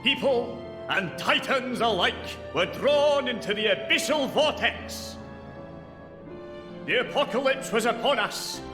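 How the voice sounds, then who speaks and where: A man's voice: very high voice, expressive, a little slow. A man playing the grave, grand narrator of a legendary stage play inside a video game, telling it theatrically in an echoing hall. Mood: ominous. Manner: solemn, theatrical storytelling. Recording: echoey theatre hall, music behind.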